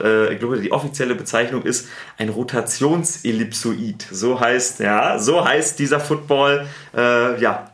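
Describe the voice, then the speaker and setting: A man with a Berlin accent, a sports TV presenter and editor, answering interview questions in a relaxed, chatty way with many fillers. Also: medium pitch, echoey room. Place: studio.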